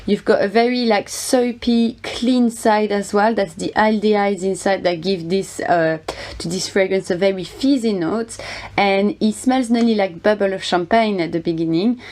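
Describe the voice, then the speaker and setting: A woman describes her favourite perfume in an earnest, halting way, often pausing on uh. Her voice sits at an ordinary middle pitch. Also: steady tone, darkish tone. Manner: earnest, many fillers and uh pauses. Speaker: fragrance reviewer. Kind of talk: guest segment in a video collaboration.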